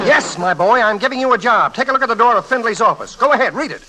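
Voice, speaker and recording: An actor playing a brash, joshing newspaper boss, gruff but generous, in a punchy 1940s radio comedy style. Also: male voice, extremely high pitch, animated, quick pace, heavy background noise. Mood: brisk, hearty. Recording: vintage 1940s radio broadcast recording.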